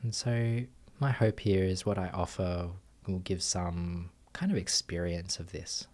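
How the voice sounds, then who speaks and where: A man guiding a meditation as a teacher, giving gentle instructions with long pauses. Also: low voice, fairly even intonation. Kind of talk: guided meditation.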